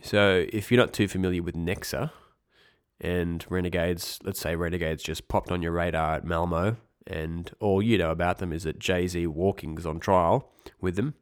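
A man's voice: low voice, fairly even intonation, normal speed, clear, resonant tone. A young man hosting a casual podcast, congested with a cold, rambling and correcting himself with wry asides. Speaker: podcast host. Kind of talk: casual esports news podcast.

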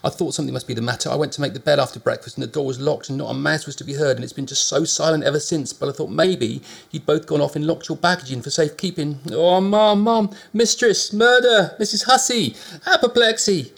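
A man's voice: fairly even intonation, fast talker, gravelly, few pauses, husky, full character voice. A man voicing a flustered young chambermaid who shrieks in panic. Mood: alarmed. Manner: shrill frantic cries.

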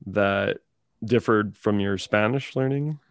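Low gravelly guy hosting a casual chat, rambly filler-y questions, dry sound. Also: slow pace, dry recording. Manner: loose, filler-heavy questions.

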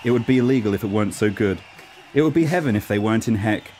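A man's voice: fairly low pitch, animated, fast, darkish tone. A man reading the scripted narration of an amateur radio play.

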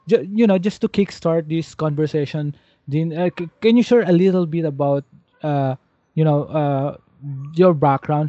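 Male podcast host, soft dark timbre, rambling chat, slightly dull audio. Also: on the high side, no room sound. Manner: rambling, repeats "you know". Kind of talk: casual interview chat.

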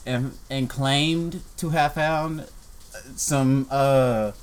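A young man in casual conversation, telling a story in a relaxed way with many fillers. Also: mid-range voice, drawn-out words, clean tone. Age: young adult.

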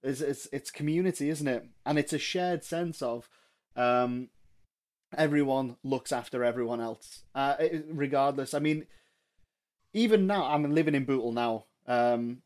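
A man's voice: medium pitch, measured pace, darkish tone. A man in his thirties with a mild Liverpool accent, talking earnestly and reflectively as a guest, with plenty of ums and restarts. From England. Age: 30s. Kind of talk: casual podcast chat.

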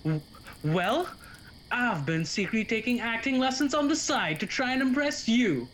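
An actor playing a bumbling, naive young stagehand who panics and whines, in a student radio comedy. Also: male voice, very high-pitched, expressive, normal speed, a bit bright, a little hiss or hum. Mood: flustered. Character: bumbling lovesick young man, stagehand turned accomplice.

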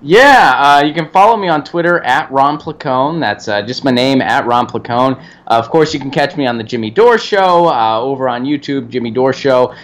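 A man's voice: mid-range voice, moderate pace, few pauses. Fired-up man, casual and profane. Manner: animated, profane, enthusiastic. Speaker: guest comedian. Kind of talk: casual interview chat.

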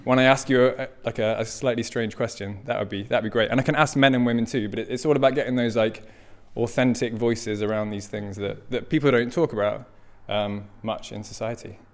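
A man's voice: mid-range voice, steady tone, fairly quick, few pauses. A man hosting a podcast, introducing it informally in a conversational way. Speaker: podcast host and interviewer. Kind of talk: informal spoken introduction and questioning.

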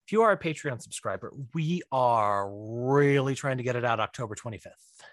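A man's voice: medium pitch, animated, normal speed, no echo, clean studio sound. A man chatting casually as a podcast guest, informal and prone to repeating phrases for emphasis. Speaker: guest, writer-director.

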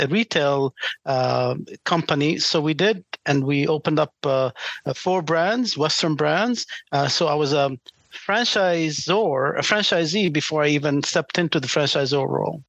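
A man whose first language is Arabic, answering interview questions conversationally as a franchise sales executive and often pausing to find his words. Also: a little high, gravelly, fairly bright tone, no room sound.

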